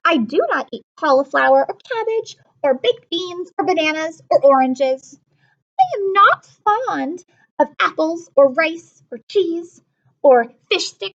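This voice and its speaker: A woman voicing a small, fussy, stubborn little girl in a storybook, with emphatic, drawn-out delivery. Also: very high-pitched, expressive, fairly bright tone, highs cut off, voice pushed up, full character voice. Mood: stubborn refusing. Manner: emphatic, declarative, drawn-out refusals.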